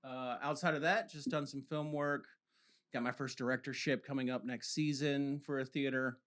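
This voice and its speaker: A man's voice: medium pitch, fairly even intonation, normal speed, a bit bright. A young man, a theater company founder, chatting casually on a podcast in an easygoing, joking way. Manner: easygoing, joking, laughs often.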